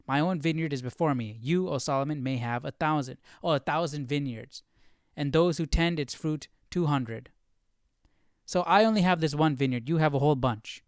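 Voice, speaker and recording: A man's voice: on the high side, moderate pace, clear, resonant tone, no room sound, studio quality. A man hosting a live Bible study, thinking aloud in casual commentary and puzzling through questions as he goes.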